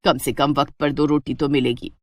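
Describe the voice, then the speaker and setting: Woman doing stern, lower-voiced older mother-in-law. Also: level tone, normal speed, darkish tone, no echo. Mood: fed up. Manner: scolding, weary authority. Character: stern, fed-up elderly mother-in-law running household.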